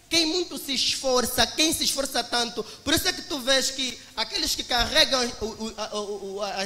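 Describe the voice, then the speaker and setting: A man's voice: extremely high pitch, bright, forceful tone, long reverb tail. A young man in his twenties with an Angolan Portuguese accent, giving an emphatic motivational testimony to a church congregation. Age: 20s. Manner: emphatic, preacher-like, addresses listeners as brothers.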